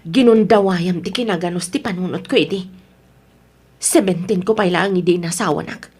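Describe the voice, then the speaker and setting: A woman's voice: lowish voice, normal speed, darkish tone, slightly rough. A woman playing an unhappy wife and mother who wants her freedom, in an Ilocano radio drama. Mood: bitter, resolute.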